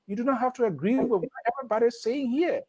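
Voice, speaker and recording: A man hosting a radio interview, lecturing listeners in a stern way with sudden emphatic jumps in pitch. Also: lively intonation, fast, darkish tone, slightly rough. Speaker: radio host.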